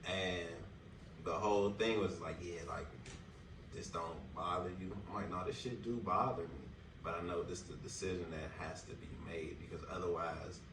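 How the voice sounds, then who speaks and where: A man around thirty muses in a very deep voice, loose and full of slang and fillers. He sounds set back from the mic in an echoey room. Steady background noise runs under him. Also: normal speed, distant mic. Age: 30s. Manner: reflective, loose slang-heavy talk with many fillers. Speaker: podcast co-host. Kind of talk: casual chat.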